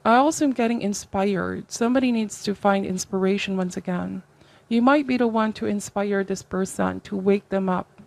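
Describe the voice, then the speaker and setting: A woman with a medium-pitched voice reads tarot for her viewers. She talks conversationally and reflectively, offering guidance as she goes and checking in with small tags seeking agreement. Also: normal speed, creaky. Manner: reflective, advisory, seeks agreement with tags like okay and right. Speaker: tarot reader, host.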